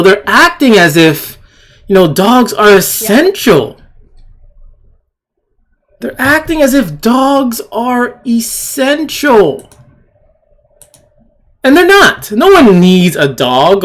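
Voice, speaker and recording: A commentator giving blunt, indignant and disdainful off-the-cuff opinions, with a halting, repetitive, emphatic delivery. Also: mid-range voice. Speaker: video commentator.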